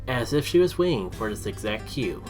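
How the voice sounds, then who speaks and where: A man with a mid-pitched voice works as an online storyteller, speaking with expressive, dramatic emphasis. Music plays clearly behind his narration. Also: normal speed. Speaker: video creator and storyteller. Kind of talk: casual intro, then reading own written story aloud.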